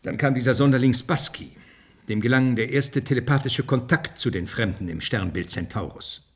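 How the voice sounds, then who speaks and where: An actor in a German radio play, playing a brash, hot-tempered official who talks with sarcasm and contempt in a husky voice. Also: male voice, mid-range voice, moderate pace, a bit bright. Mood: defensive. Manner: sarcastic, blustering, coarse.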